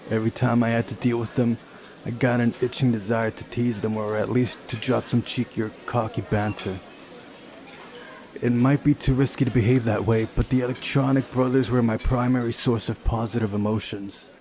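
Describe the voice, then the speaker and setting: A man's voice: medium pitch, steady tone, moderate pace, darkish tone. A man hosting a visual novel playthrough, reading narration aloud and adding wry, casual asides. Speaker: video game playthrough host. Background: faint music.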